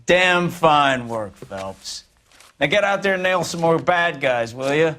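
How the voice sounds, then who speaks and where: Gruff offhand american police boss, middle aged, kinda high voice, video game. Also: male voice, expressive, slow pace, pushed and bright, a little hiss or hum, exaggerated voice. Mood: gruffly satisfied. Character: brusque, satisfied police captain praising his detectives.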